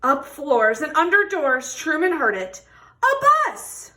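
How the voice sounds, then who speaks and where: Lady doing a kids book read aloud, bright voice, slow and expressive, stretches out words for little kids. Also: high-pitched. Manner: expressive, drawn-out emphasis for young children. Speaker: read-aloud storybook reader. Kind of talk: animated children's picture book read-aloud with questions to listeners.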